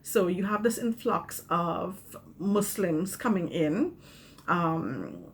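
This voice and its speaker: A woman, a teacher explaining things calmly in a video message from home. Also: lowish voice, takes it slowly. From Trinidad and Tobago. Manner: calm, explanatory, with fillers. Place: small room at home, indoors. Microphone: webcam, video call.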